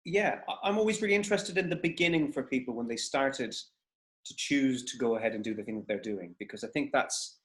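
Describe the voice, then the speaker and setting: A man from Waterford whose Irish accent has softened over time. He hosts in a mid-pitched, eager, chatty way, often restarting his sentences as he warms up his questions. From Ireland, Waterford. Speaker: host, interviewer. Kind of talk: casual interview over video call.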